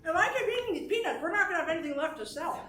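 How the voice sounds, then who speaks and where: Excitable high-voiced vaudeville comedienne. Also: female voice, very fast, faint music behind. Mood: excited, playful. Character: excitable, clowning vaudeville actress Marie, playful and theatrical. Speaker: stage musical performer. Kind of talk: musical theater stage acting. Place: indoor staged reading. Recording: roomy live stage recording, faint noise.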